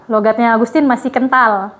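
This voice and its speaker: A woman's voice: a little high, fairly even intonation, a little fast, a bit bright. Chatty woman coaching news anchors. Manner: chatty, many fillers and restarts. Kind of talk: casual coaching talk.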